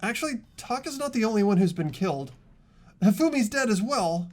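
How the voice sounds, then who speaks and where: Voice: mid-range voice, sing-song, fairly quick, darkish tone, voice pushed up, lightly acted. A performer voicing an earnest, anxious, easily startled teenage boy who narrates an amateur dub of a mystery game. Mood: hesitant.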